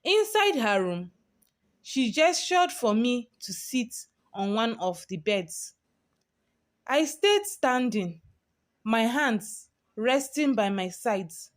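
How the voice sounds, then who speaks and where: A woman narrates a dramatic story in the first person as a storyteller. Her voice sits fairly high and she takes her time, with a very slow, deliberate delivery. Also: very animated.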